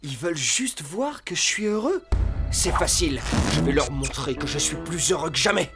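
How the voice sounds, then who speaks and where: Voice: mid-range voice, expressive, moderate pace, close to an everyday voice. An actor voicing an anxious, downcast fox in a children's cartoon, with music behind. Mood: hopeful. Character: anxious, downcast male fox disguised as a pet dog. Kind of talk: French-dubbed children's cartoon acting.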